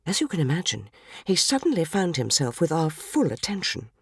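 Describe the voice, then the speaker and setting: A woman's voice: sits low, sing-song, brisk, breathy edge, dry recording, clean studio sound, pitched below the performer's own voice, full character voice. A woman reading a dramatic story as a prim, tearful, naive English housewife from the 1940s, genteel, wistful and earnest, with an English accent. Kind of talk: dramatic first-person short story reading.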